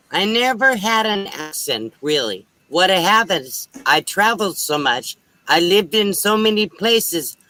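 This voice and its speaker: Bright-voiced man hosting a livestream chat. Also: high voice, no room sound, poor audio. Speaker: livestream host. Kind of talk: casual group chat on a livestream.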